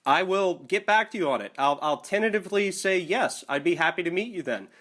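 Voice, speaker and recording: A man's voice: high-pitched, animated, fairly quick, fairly bright tone. A man on a recorded phone call, arguing insistently in formal, wordy phrasing. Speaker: caller in a phone dispute. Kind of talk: argumentative phone conversation. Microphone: telephone.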